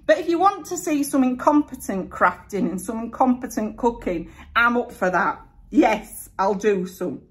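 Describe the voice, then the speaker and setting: A woman's voice: fairly high pitch, lively intonation, moderate pace. A middle-aged woman with a broad Yorkshire accent, chatting through viewer questions in a casual vlog, with lots of fillers and frequent laughter. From North East England, raised in Yorkshire. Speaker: vlogger answering viewer questions.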